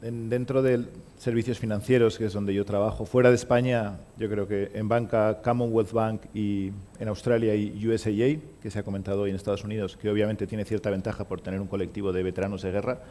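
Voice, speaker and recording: Mellow-voiced man in an echoing hall. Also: fairly low pitch, little pitch movement. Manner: measured, listing examples one by one. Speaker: panelist, consultant. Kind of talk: panel discussion. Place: conference venue. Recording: reverberant conference hall.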